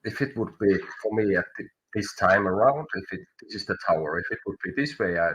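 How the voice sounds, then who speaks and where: A man with a Finnish accent and a low, husky voice gives a live tarot reading. He speaks haltingly and often restarts words while searching for them. His native language is Finnish. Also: expressive, fairly bright tone, rough recording. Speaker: tarot reader.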